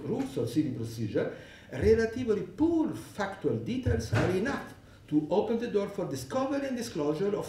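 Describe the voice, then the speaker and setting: A man's voice: on the high side, dark tone. A man with an Italian accent speaking English as a second language, giving a conference panel talk with many restarts and fillers. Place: lecture hall. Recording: echoey hall, distant mic.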